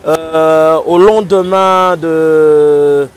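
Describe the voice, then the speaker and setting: Hesitant interviewer, slightly high. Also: male voice, steady tone, drawn-out words, a little hiss or hum. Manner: hesitant, many fillers and restarts. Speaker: interviewer host. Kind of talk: interview questions.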